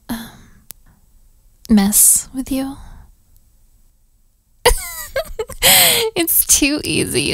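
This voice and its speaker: Bratty princess, twenties, female actor, playful and flirty, very slow delivery, close dry sound, intimate audio roleplay. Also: a little high, slightly rough, no echo, full character voice. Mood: teasing. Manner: teasing, giggly, casual modern phrasing, dropping into whispers.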